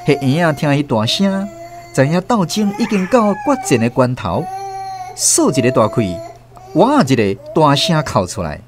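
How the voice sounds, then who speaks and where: A Taiwanese man narrating a scripted radio drama in an expressive storytelling manner. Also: on the high side, normal speed, over music. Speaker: narrator and host. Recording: noisy background sound effects.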